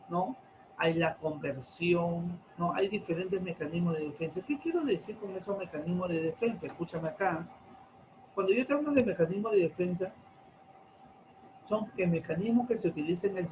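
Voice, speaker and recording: A man, lecturing teenagers in class, slow and deliberate, stretching key terms and asking many rhetorical questions. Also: a little high, a little hiss or hum, low-quality recording. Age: 40s. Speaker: teacher.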